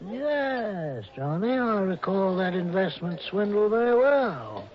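An old retired judge weighs each word in a careful, lawyerly way, with a fairly high voice for a man. The sound is dull and muffled, like an old radio broadcast. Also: very animated, exaggerated voice. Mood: measured. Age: older. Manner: deliberate, hedging, lawyerly. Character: retired old male judge, measured and discreet. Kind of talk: 1960s radio drama acting.

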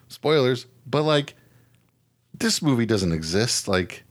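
A middle-aged American man co-hosting a podcast, chatting casually about movies in a slangy, animated, profane way. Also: mid-range voice, slow pace. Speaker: podcast co-host.

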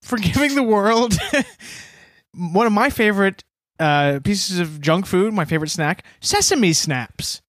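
A man's voice: sits high, expressive, measured pace, fairly bright tone, dry recording, clean studio sound. A man co-hosting a podcast, chatting in a wry, teasing way. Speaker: podcast co-host. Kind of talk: casual podcast chat.

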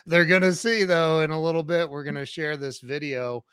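A man's voice: fairly high pitch, fairly even intonation, moderate pace, fairly bright tone, clean tone, no echo. A man hosting an interview, effusive and hyping his guest, often repeating himself as he talks. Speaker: host. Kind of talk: casual interview.

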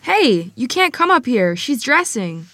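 A woman's voice: fairly high pitch, big pitch swings, measured pace, fairly bright tone, no echo, clean studio sound, exaggerated voice. A woman acting a bossy, protective young bridesmaid at a screenplay table read, scolding and emphatic.

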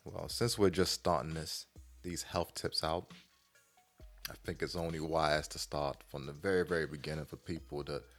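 A man with a very low voice gives his opinions in loose, conversational banter as a podcast co-host. He talks in colloquial phrases and tends to repeat a point to drive it home. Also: fairly even intonation, moderate pace, a bit bright, soft background music. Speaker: podcast co-host giving advice.

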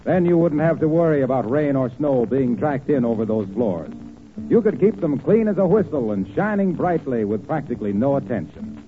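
A man's voice: mid-range voice, very animated, moderate pace, over music. A man announcing in polished 1940s radio style, with folksy, courteous scripted salesmanship. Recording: tinny 1940s radio recording.

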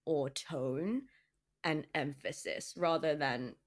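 A young woman with a low contralto voice, co-hosting a casual podcast chat, wry and quick to laugh. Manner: wry, laughs often, dry quips. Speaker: podcast co-host.

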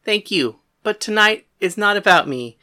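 A woman's voice: medium pitch, animated, moderate pace, sharp tone. Woman voicing a cocky mocking woman fighter. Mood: gracious. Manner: mocking, coolly threatening. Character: bold cocky woman fighter, dry wit.

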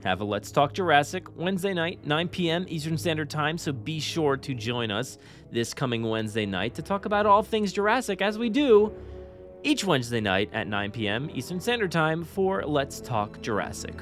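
Animated, chatty male podcast host. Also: fairly high pitch, moderate pace, faint music behind. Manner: enthusiastic, chatty, informal. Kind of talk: casual podcast chat.

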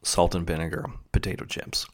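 A man's voice: low-pitched, little pitch movement, brisk, background noise. A man hosting a solo podcast, rambling from tangent to tangent with sarcastic, crude jokes, sometimes chewing while he talks. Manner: joking, sarcastic, tangent-prone, crude humor. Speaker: podcast host.

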